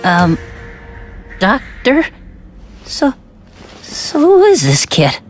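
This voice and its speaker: An actress voicing an excitable, naive young boy soldier in a video game, exclamatory and puzzled. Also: a little high, very slow, faint music behind, heavily acted. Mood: confused.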